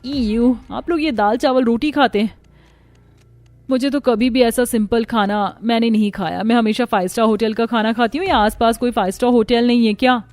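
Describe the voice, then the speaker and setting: A woman's voice: on the high side, fairly even intonation, normal speed, darkish tone, no room sound, natural voice. A woman voicing a haughty, spoiled young rich bride in a cartoon story, complaining with disdain and dropping in English words. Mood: snobbish. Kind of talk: Hindi cartoon story voice acting.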